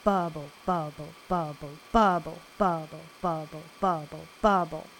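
Slow, dreamy, self-absorbed artist. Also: female voice, sits low, fairly even intonation, a little hiss or hum. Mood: trance-like chanting. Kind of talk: audio drama acting.

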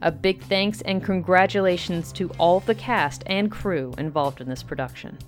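A woman's voice: lowish voice, moderate pace. A woman hosting, reading a scripted podcast outro over faint music. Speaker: host.

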